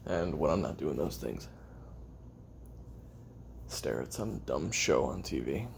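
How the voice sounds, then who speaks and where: A man playing a weary, lonely, bitter assassin recording a halting, confessional audio-drama memoir in a gravelly voice. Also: extremely low pitch, expressive, a little hiss or hum, exaggerated voice. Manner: halting, brooding, self-deprecating, trailing off. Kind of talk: confessional audio roleplay monologue.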